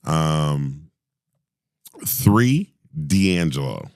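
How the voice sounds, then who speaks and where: A man in his forties with a deep voice, a singer talking as a podcast guest in casual chat, animated, laughing and often restarting his sentences. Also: drawn-out words, clear, resonant tone, dry recording, clean studio sound.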